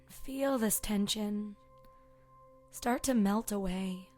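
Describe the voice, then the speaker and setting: Woman doing guided meditation, really slow n soft, soothing, kinda breathy, faint bowl music behind. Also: mid-range voice. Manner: soft, soothing, gentle, with long pauses. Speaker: yoga and meditation teacher. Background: soft meditation music with singing bowl tones.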